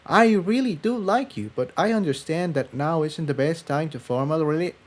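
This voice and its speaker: A man voicing a gentle, shy young female pony in a soft, hesitant storybook voice. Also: fairly high pitch, lively intonation, moderate pace, voice pushed up. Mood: gentle, understanding.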